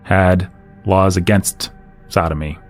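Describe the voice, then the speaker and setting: Male podcast host, low register, easygoing conversational delivery over soft background music. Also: steady tone, takes it slowly. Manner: conversational, laughs while talking. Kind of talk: casual discussion.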